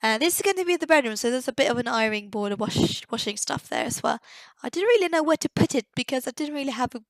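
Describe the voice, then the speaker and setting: Higher-pitched woman, a bit croaky. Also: lively intonation, quick pace, dry recording. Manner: hesitant, searching for words, many fillers. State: slightly croaky voice. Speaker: game builder. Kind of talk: casual voice-over commentary on a game build.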